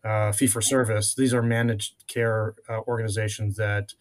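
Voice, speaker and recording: A middle-aged man born in France, a venture investor, telling his career story in a measured way with many filler pauses. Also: lowish voice, flat delivery. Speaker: guest, venture capital investor. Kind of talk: conversational interview answers.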